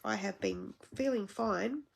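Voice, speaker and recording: A woman's voice: mid-range voice, measured pace, creaky. An Australian woman with a blocked-up, congested nose, chatting casually as she hosts a live crafting stream, rambling with many fillers.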